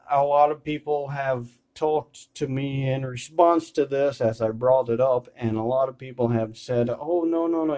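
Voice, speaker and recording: A man's voice: medium pitch, mellow. A man talking casually and unscripted to viewers, rambling and hesitant, repeating and correcting himself, with some blunt language. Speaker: video creator.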